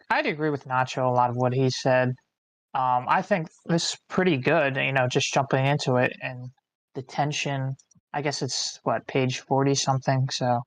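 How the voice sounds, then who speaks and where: A woman's voice: rumbling low, dry recording. A woman giving casual, rambling, unsure feedback off the cuff in a group discussion. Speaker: table read participant giving feedback. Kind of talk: casual chat, off-the-cuff critique.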